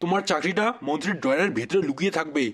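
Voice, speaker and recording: A smug cartoon office clerk played by a man, angling for bribes with a sly, officious manner. The male voice is high and quick. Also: lightly acted. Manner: sly, officious, matter-of-fact. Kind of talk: comedy cartoon dubbing. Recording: loud music behind the voice.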